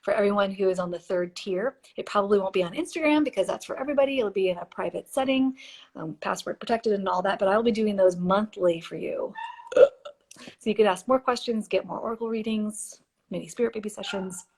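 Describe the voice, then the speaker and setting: A woman hosts a live stream, chatting and giving oracle card readings in a gentle, unhurried way. Her voice is breathy and slightly hoarse, and it sits at an ordinary middle pitch. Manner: warm, chatty, reads card texts softly. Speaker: podcast host and intuitive reader. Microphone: phone or computer mic for a live stream.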